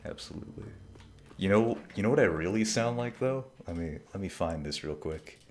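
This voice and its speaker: A man's voice: low-pitched, animated, normal speed, slight background noise. A man streaming a video game, chatting casually and jokily with lots of trailing fillers. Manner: rambling, jokey, trailing filler words. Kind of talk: casual chat while gaming.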